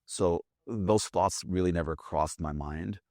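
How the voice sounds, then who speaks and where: A man in his forties, born in Brazil and native in Portuguese, telling his life story as an interview guest, animated, with frequent restarts. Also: low-pitched, a little slow, no echo, studio quality. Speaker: guest, business owner.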